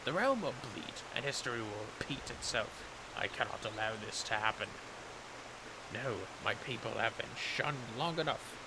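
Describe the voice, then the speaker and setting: Man playing a solemn, burdened fantasy warrior, expressive delivery. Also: mid-range voice, creaky, very noisy. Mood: foreboding warning, then earnest resolve. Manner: grave, formal, archaic phrasing. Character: solemn, honorable warrior burdened by guilt and dread. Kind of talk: dramatic read-aloud of fantasy game dialogue. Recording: prominent music behind the voice.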